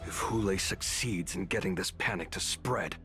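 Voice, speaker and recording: An actor playing a grave, earnest and dutiful soldier giving a report in a video game. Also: male voice, low voice, moderate pace, sharp tone. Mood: grave, urgent. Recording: game music behind.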